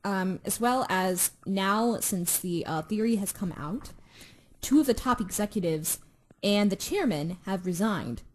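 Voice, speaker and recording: A woman's voice: medium pitch, lively intonation, unhurried, darkish tone, rough recording. A woman chatting casually as a podcast co-host, in an informal, slangy way with some swearing. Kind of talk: casual chat.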